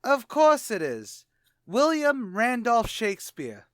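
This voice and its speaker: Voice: mid-range voice, huge pitch swings, takes it slowly, a bit bright, no room sound, clean studio sound, exaggerated voice. Someone playing a brash New tavern manager. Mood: pompous, argumentative. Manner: breezy, mock-erudite, brash. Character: wisecracking, pompous, malaprop male tavern manager Archie. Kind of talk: old-time radio comedy acting.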